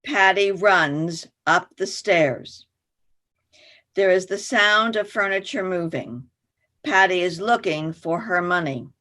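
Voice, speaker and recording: A woman's voice: mid-range voice, fairly bright tone. A woman reading scripted stage directions as narrator for a play reading.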